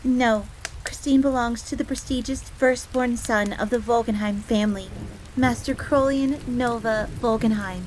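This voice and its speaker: A woman's voice: a little high, a little slow, lightly acted, rough recording. A woman playing a loyal, easily flustered tsundere fox maid in an audio roleplay, speaking formally and stiffly and referring to herself in the third person. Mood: prim, haughty. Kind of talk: scripted audio roleplay acting. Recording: steady rain noise in background. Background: rain ambience.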